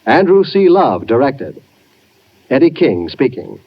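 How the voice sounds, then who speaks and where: A man's voice: mid-range voice, sing-song, a little slow. A man working as a radio announcer, reading scripted broadcast credits in a 1950s style. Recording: muffled 1950s radio recording.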